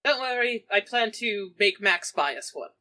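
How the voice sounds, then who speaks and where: A woman's voice: mid-range voice, expressive, normal speed, sharp tone. A woman role-playing in a tabletop game with casual, theatrical, flamboyant banter. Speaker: player in a tabletop game podcast.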